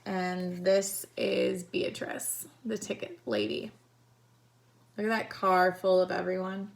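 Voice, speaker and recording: A woman reading a children's story aloud in a deliberate way, pausing to explain the pictures to young listeners. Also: fairly low pitch, little pitch movement, gravelly. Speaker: storytime reader, teacher.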